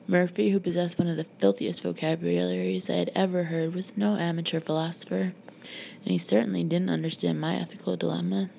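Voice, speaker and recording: A woman narrates a memoir in the manner of an audiobook reader. Her voice sits on the low side with a lot of gravelly fry. The recording is a dull, homemade-sounding one. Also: little pitch movement, brisk, mellow. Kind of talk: reading a memoir aloud, scripted narration. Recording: dull lo-fi home recording, background noise.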